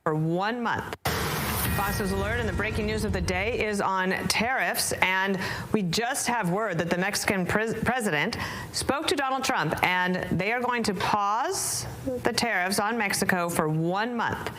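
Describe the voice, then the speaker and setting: A woman's voice: mid-range voice, unhurried, a bit bright, slight background noise. A woman news anchor presenting breaking news.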